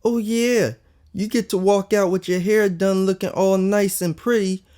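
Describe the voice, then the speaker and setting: A man's voice: high-pitched, steady tone, unhurried, mellow, clear, resonant tone, pitched above the performer's own voice. An indignant young boy, played by a man, complains in a raised, whiny voice. He sounds accusing and put out, as dialogue in an audiobook memoir. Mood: indignant, sarcastic. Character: upset, indignant young boy, the narrator as child.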